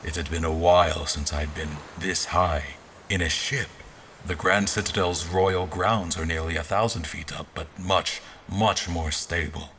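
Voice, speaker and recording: Deep-voiced guarded royal officer, acted by a man. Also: steady tone, gravelly, fairly bright tone, a little hiss or hum, full character voice. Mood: urgent, narrative. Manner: formal, literary, deliberate phrasing. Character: guarded male royal officer in disguise, telling his memories. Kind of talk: scripted first-person audio drama narration. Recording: music behind the voice.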